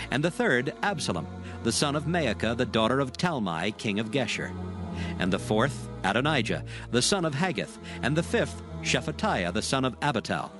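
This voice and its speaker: Male scripture narrator over clear background music. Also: medium pitch.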